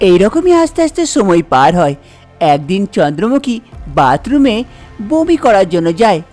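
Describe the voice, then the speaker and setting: A woman's voice: mid-range voice, sing-song, normal speed, a little mellow, faint music behind. A woman narrating a scripted cartoon folk tale. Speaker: storyteller, narrator.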